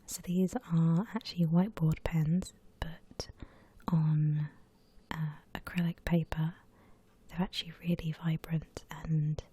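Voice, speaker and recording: A woman gently whispers an ASMR drawing tutorial in a breathy voice, explaining each step without hurry. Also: low-pitched.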